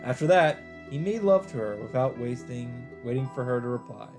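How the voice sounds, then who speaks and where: A man's voice: mid-range voice, lively intonation, moderate pace. A man hosting a let's play, reading a visual novel's narration aloud in a dramatic storytelling style. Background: game music.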